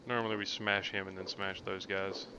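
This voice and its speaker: A man's voice: low voice, flat delivery, normal speed, highs cut off. A man giving casual commentary on his own video game playthrough, often muttering asides to himself. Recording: clearly noisy background.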